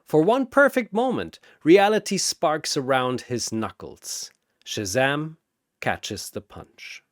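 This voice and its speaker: A man's voice: a little high, expressive, takes it slowly, no room sound, clean studio sound. A man narrating a scripted fantasy battle story in a dramatic style.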